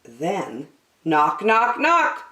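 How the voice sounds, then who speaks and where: Woman, children's story reading, unhurried, swooping expressive pitch, mid register, roomy acoustic.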